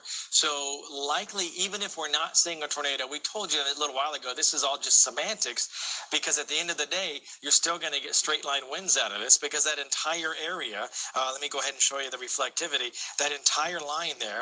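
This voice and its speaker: A man's voice: a little high, a little fast, bright, forceful tone, rough recording. An American man working as a TV meteorologist, giving live unscripted severe weather commentary and explaining as he thinks aloud.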